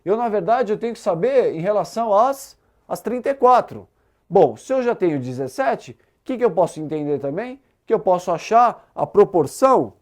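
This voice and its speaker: A man with a Brazilian accent teaching a math lesson, explaining step by step and checking understanding with short tag questions. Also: sits high. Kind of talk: explanatory exam-prep lesson.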